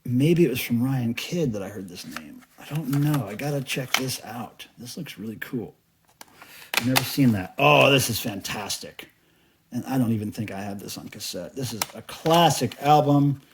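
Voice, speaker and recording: A man's voice: mid-range voice, lively intonation, normal speed. A middle-aged man showing off his collection in casual, unscripted chat, enthusiastic, rambling and a little profane. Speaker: cassette collector and video host. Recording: clearly noisy home recording with clicks.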